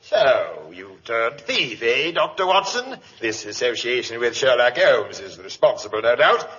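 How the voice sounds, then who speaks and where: A man's voice: medium pitch, very animated, unhurried, a bit bright. Grumbling British policeman, sneering, mid-range voice, dull vintage radio recording, faint noise. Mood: gloating, accusing. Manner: gruff, grumbling, sarcastic. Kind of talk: 1940s radio acting. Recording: muffled, noisy old radio recording.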